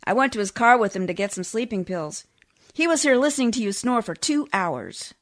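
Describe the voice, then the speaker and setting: An actress playing a nagging, needy, sharp-tongued wife in 1940s-style radio comedy, with a scolding, argumentative manner. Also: female voice, a little high, expressive, brisk, lightly acted. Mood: nagging, reproachful.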